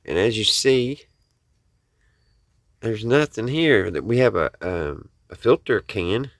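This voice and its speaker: A man's voice: lowish voice, animated, slow pace, dry recording, studio quality. An American man talking casually to viewers in a folksy, rambling show-and-tell, thinking aloud as he goes. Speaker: hobbyist video host.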